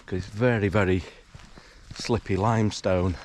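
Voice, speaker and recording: A man narrating a morning countryside walk in a relaxed, hesitant way, full of fillers, his voice a little croaky from just waking up. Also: sits low, normal speed, a little hiss or hum. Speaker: hiking vlogger. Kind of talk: casual walking commentary. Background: rural outdoor sounds, animals.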